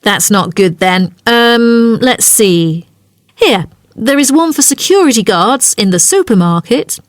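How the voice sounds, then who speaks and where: A woman's voice: fairly high pitch, animated, measured pace, dry recording, studio quality. A woman playing a polite, helpful job centre agent in a scripted language-test dialogue, courteous and businesslike.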